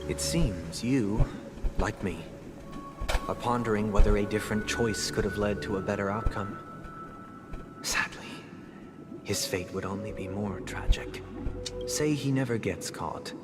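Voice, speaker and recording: An actor playing a refined, courteous young head of a noble family in a game, speaking formally and eloquently with measured composure. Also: male voice, low-pitched. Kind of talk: scripted game dialogue and storytelling.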